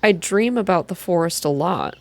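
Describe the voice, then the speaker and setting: A woman's voice: fairly low pitch, lively intonation, normal speed, darkish tone. Woman playing a candid, curious young heroine. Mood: confiding.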